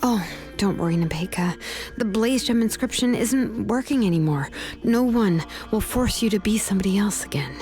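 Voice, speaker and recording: A woman playing a laid-back, caring young craftswoman in a video game, speaking with gentle, measured reassurance. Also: medium pitch, lively intonation, faint music behind, close to an everyday voice. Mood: gentle, reassuring.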